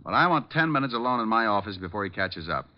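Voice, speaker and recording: Smooth-talking private detective, fairly low pitch, wry, self-assured, vintage radio drama, dull sound. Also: male voice, sing-song, fast. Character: suave, quick-witted male private detective.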